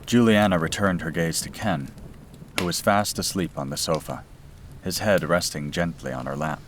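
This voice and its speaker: A man's voice: low voice, normal speed. A man reading a scripted bedtime story as its narrator. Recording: clear background noise and ambience.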